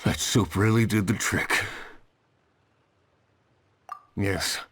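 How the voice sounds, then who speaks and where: Earnest weary hunter, lowish voice. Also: male voice, fairly even intonation, very slow, a bit bright, no echo, exaggerated voice. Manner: earnest, formal, speaks without contractions. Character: weary stranded male hunter, earnest and formal.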